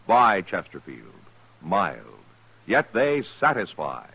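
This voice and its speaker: A man's voice: on the low side, very animated, slow pace, sharp tone, husky, rough recording. An American man announcing and advertising on 1950s radio in an emphatic, polished style. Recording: vintage radio broadcast recording.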